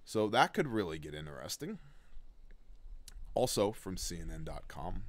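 A man's voice: low voice, sing-song, normal speed, no echo. Sardonic man reading news with dry asides. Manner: sardonic, blunt asides between read passages. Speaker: host of a preparedness news show. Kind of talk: reading news articles aloud with sarcastic commentary.

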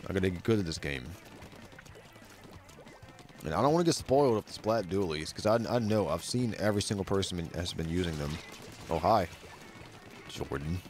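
A young man with a very deep voice casually commenting on his game, laid-back and swearing a lot. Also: creaky. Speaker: game streamer. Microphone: studio mic with bass roll-off.

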